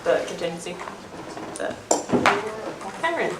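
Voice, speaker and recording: A woman's voice: lowish voice, sing-song. Young woman chairing a meeting, hissy recording. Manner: brisk procedural phrasing. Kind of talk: running a formal meeting. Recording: echoey room, far mic, loud hiss.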